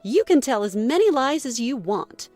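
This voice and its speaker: A woman voicing a furious, haughty young mother in a story, shrill and accusing. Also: sing-song, moderate pace, soft background music. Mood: scornful anger. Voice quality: shrill, screechy when shouting. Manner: accusing, outraged shouting.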